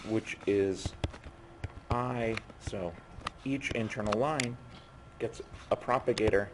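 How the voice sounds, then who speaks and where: A man with a lowish, strained voice teaching physics while losing his voice. He talks haltingly, pausing and restarting as he works through the material. The recording is noisy, with a steady hiss behind him. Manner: halting, stopping mid-phrase to write. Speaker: physics lecturer. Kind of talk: university lecture at a board.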